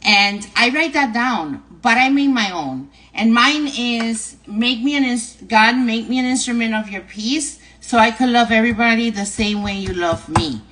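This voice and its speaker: A woman's voice: fairly high pitch, normal speed, hardly any pauses, fairly bright tone, slight background noise, low-quality recording. Middle-aged woman, New York accent, chatty live-stream host, repeats herself, asks "right?". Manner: chatty, repetitive, tags lines with "right?" and "okay?". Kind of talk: live-stream advice talk.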